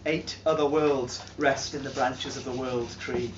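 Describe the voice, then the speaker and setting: A man giving a dramatic reading of an old charm in a large hall, declaiming slowly and swelling to loud peaks. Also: medium pitch, steady tone, a little hiss or hum. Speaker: storyteller. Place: large timber hall, indoors. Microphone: camera filming from across the room. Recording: distant, roomy and dull.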